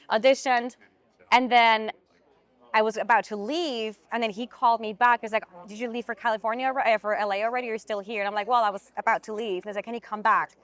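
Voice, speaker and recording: A young woman from Switzerland with a Swiss accent, an actress telling her story in a casual interview, chatty and animated. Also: on the high side, quick pace. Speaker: actress, podcast guest.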